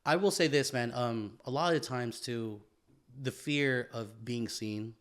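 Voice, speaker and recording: A man's voice: mid-range voice, measured pace, a bit bright. Casual, profane male podcast co-host. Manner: informal, profane, repeats phrases, peppers talk with "man" and "bro". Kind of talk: casual, reflective conversation.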